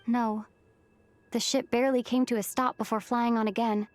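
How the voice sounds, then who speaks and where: A woman's voice: on the high side, level tone, moderate pace, lightly acted. A woman voicing an earnest, thoughtful young female traveler in a video game cutscene, with a sincere, pensive delivery. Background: background music.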